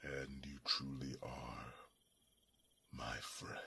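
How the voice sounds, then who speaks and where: An enormous, ancient male dragon in an extremely deep, breathy rasp. The words come very slowly and solemnly, half-whispered and pensive. It is a tender, fatherly, sorrowful creature in an ASMR fantasy roleplay. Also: level tone, sharp tone, exaggerated voice. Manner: slow, solemn, halting, pensive.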